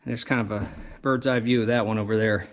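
A man's voice: fairly low pitch, a little fast. Plainspoken man filming in a barn. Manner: relaxed, plainspoken, with frequent "uh" fillers. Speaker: homestead vlogger. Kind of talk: casual chat while working. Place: large metal horse barn with a loft. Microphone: action camera on body and selfie at arm's length. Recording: noisy barn recording.

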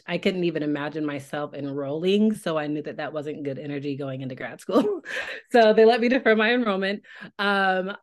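An American woman telling her story casually as a podcast interview guest. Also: fairly low pitch. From Tulsa, Oklahoma, United States. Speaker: podcast guest, speech-language pathologist.

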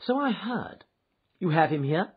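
A man reading aloud and voicing a sharp, eager male detective with a high, brisk and precise delivery. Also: very animated, breathy edge, phone-quality sound, heavily acted. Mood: curious.

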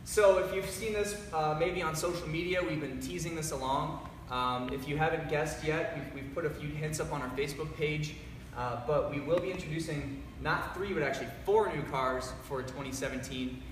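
An upbeat young man hosts a live announcement in a large showroom garage. His voice rings off the hard walls and sits far from the camera, with steady noise underneath. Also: fairly high pitch, normal speed, hardly any pauses. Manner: upbeat, presenter-like, reads out viewer comments. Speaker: company host presenting a live announcement. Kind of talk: live-streamed company announcement. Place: large echoey garage showroom with cars, indoors. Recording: echoey room from far off, background noise.

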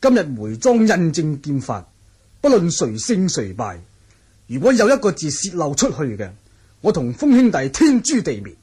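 An actor playing a shrewd, jovial martial-world wanderer with a Hong Kong Cantonese accent in an old radio drama, speaking with wily, persuasive courtesy. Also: male voice, a little high, huge pitch swings, moderate pace, darkish tone, slightly rough, full character voice. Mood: earnest, persuasive.

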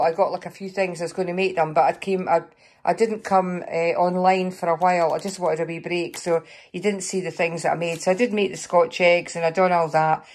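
A middle-aged Scottish woman chatting casually over a grocery haul in her home kitchen, rambling a little, slightly hoarse. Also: fairly low pitch, a little mellow. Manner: chatty, rambling, with fillers and small laughs. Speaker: vlogger showing a grocery haul. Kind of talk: casual chat to camera. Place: kitchen counter at home, indoors.

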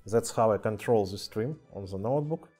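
A man with a Russian accent casually chatting on a live stream in halting English, with many fillers. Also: lowish voice, darkish tone, breathy edge, soft background music. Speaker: streamer showing toy car collection. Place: indoor room with cluttered table. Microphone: webcam mic.

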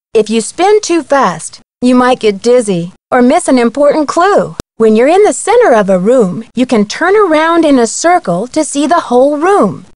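A woman's voice: high-pitched, no echo. A woman playing a young, confident amateur detective, giving friendly scripted tutorial instructions in a video game. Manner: friendly, clear instructional delivery.

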